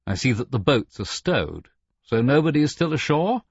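A man's voice: medium pitch, sing-song, normal speed, creaky, breathy edge, no room sound, lo-fi sound, pitched above the performer's own voice, heavily acted. A man playing a stern, cool senior naval officer with a clipped, commanding manner in an audiobook.

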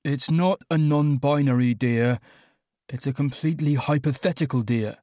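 A man's voice: medium pitch, normal speed, dark tone, dry recording, close to an everyday voice. A man voicing an exasperated, sardonic young man, the hapless hero of an audiobook, wry and emphatic. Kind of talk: audiobook character dialogue.

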